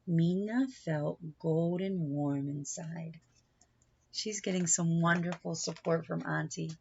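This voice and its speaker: A woman reads a picture book aloud at a slow, deliberate pace. Her delivery is expressive and aimed at young children. The recording is dry and slightly dull. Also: lowish voice, dry recording. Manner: deliberate, animated storytelling with asides to young listeners. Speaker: storybook reader.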